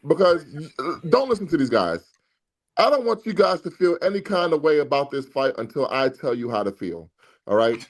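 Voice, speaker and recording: High-pitched, emphatic man hosting sports debate. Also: sing-song, moderate pace. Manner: emphatic, repetitive, self-assured. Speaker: host of a boxing talk show.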